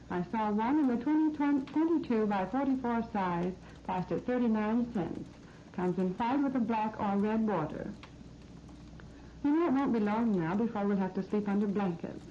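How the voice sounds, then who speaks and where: An American woman hosting a scripted 1930s radio shopping program, giving promotional, instructive product talk. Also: medium pitch. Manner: promotional, instructive sales patter. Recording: dull, noisy 1939 radio disc recording.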